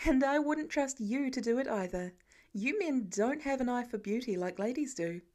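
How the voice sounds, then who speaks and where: Woman reading as a wry, exasperated wife, fairly high. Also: lively intonation, moderate pace, a little mellow, natural voice. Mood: teasing. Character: exasperated, teasing pregnant wife, adult woman.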